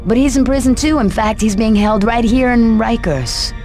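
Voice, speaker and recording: A woman's voice: a little high, moderate pace, a little mellow, soft background music, natural voice. An actress playing a weary, sceptical policewoman in a video game, pointed, challenging and incredulous.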